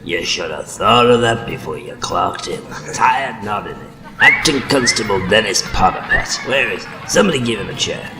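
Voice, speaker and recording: A man's voice: on the low side, big pitch swings, moderate pace, a crowd in the background. Man as a brusque, echoing police sergeant. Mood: brusque, exasperated. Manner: brusque, commanding. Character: brusque police sergeant barking at roll call. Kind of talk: comedy audio drama, cop-show parody. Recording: echoey hall, noisy.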